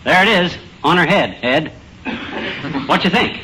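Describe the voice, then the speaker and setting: An actor playing a cheerful, boastful, bumbling middle-aged husband in old radio comedy, with a folksy, slangy, blustering manner. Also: male voice, on the high side, expressive, unhurried. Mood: breezy. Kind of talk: 1940s-50s radio comedy acting. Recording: noisy old radio broadcast recording.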